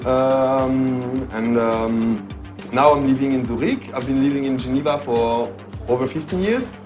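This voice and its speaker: A man in his late thirties from France with a French accent, answering interview questions in a hesitant way, with many pauses and restarts. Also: medium pitch, over music. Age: 30s. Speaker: guest DJ and producer. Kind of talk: casual interview.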